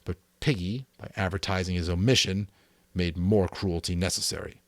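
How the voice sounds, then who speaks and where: A man's voice: low-pitched, normal speed, no echo. A man narrating a novel as a dramatic audiobook reading, then chatting casually to his viewers with fillers. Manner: expressive reading, casual rambling asides with fillers. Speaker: audiobook narrator and channel host.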